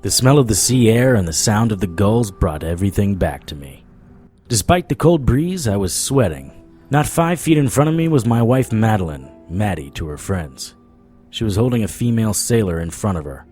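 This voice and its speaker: Low-voiced hard-boiled investigator. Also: male voice, very animated, normal speed, a little mellow, faint music behind. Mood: tense, narrating. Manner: hard-boiled, dramatic storytelling. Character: haunted, dogged supernatural investigator narrating his nightmare. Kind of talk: first-person audio drama narration.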